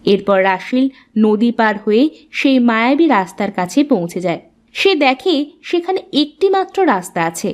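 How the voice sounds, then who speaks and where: A woman's voice: on the high side, lively intonation, normal speed. A woman reading scripted narration for a cartoon story as its narrator.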